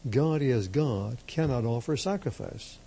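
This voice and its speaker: Slow, gravelly-voiced priest preaching. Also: male voice, medium pitch, animated, dark tone. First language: Slovak. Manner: emphatic, measured, repeats key phrases. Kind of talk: slow deliberate sermon.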